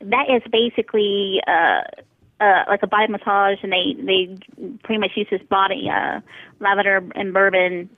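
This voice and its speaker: A woman's voice: mid-range voice, fairly even intonation, moderate pace, no echo. A woman working as a front desk receptionist, chatting with a customer in an easygoing, giggly way over the phone. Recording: tinny, narrow phone line.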